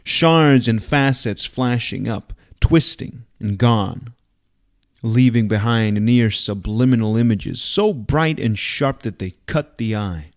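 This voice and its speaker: A man reading fiction aloud in a dramatic, expressive audiobook style. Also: medium pitch, slow pace. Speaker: reader.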